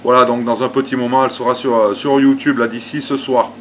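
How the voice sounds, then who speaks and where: A man's voice: mid-range voice, flat delivery, fast, fairly bright tone, a little hiss or hum. Repetitive, polite man chatting on ham radio. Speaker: amateur radio operator. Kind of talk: casual ham radio conversation.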